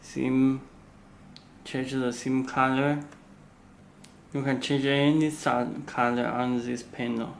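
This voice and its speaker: A man talks through a product demonstration in halting, simple English that is not his first language, pausing often between short phrases. His voice is gravelly and flat, with little rise and fall, and his pace is slow. A faint hiss of background noise sits under the recording. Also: medium pitch. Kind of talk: unscripted product walkthrough.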